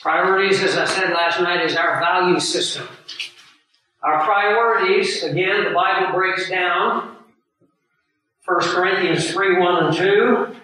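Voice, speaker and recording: A man preaching a sermon to a live audience, his voice hoarse and scratchy from the flu, teaching in an earnest, emphatic way with pauses. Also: high voice, bright, forceful tone. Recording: very echoey room, voice far from mic.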